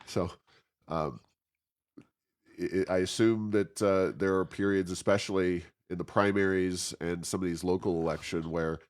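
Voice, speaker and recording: A man's voice: deep voice, steady tone, measured pace. A man with an American accent hosts a casual interview, asking meandering, conversational questions with many hesitations and ums. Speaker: interview host.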